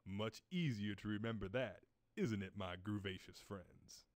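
A man's voice: lowish voice, a little slow, dry recording, studio quality, close to an everyday voice. A man playing a groovy disco chef who gives scripted, playful lessons in hip slang for an educational game. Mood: playful, instructive. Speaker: game host.

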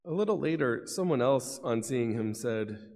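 A man's voice: medium pitch, lively intonation, moderate pace, darkish tone. A middle-aged man solemnly reading scripture as narrator at a lectern. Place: large church, at lectern. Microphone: lectern gooseneck microphone. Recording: long church reverb.

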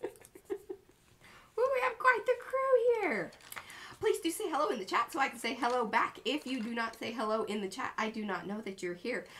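Lively, chatty woman livestream host. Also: a little high, moderate pace, a little hiss or hum. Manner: bubbly and chatty, with playful made-up words. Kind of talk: casual livestream chat, greeting viewers by name. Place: home art studio, indoors, small cluttered room.

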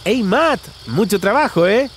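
Man, children's cartoon narrator, high voice, swooping singsong delivery, exaggerated, talks to characters. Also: expressive, a little slow, slight background noise. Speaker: narrator talking to cartoon vehicles.

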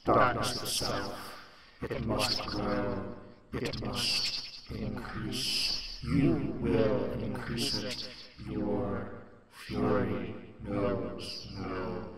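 Ominous, very raspy dark spirit. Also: male voice, on the low side, flat delivery, heavily acted. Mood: ominous, solemn. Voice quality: very hoarse, growling rasp. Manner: slow, solemn, portentous. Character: ominous dark oracle spirit speaking through a mouth. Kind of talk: fantasy tabletop game roleplay.

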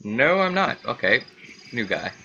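A man's voice: mid-range voice, sing-song, unhurried, pushed and bright, breathy edge, lo-fi sound. A man giving casual let's play commentary, rambling and repeating himself as he thinks aloud, as host of a gaming channel. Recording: faint background noise.

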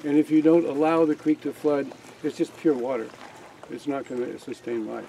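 A man's voice: a little high, moderate pace, gravelly, background noise. An older man giving a casual walking tour of his farm outdoors, talking to a group with many restarts and fillers. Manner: rambling, frequent restarts and fillers. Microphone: camera among a standing group.

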